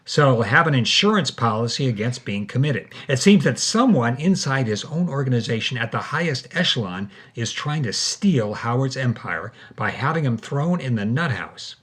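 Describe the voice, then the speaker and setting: A man's voice: mid-range voice, a bit bright, breathy edge. A man narrating and explaining a story in a conversational way.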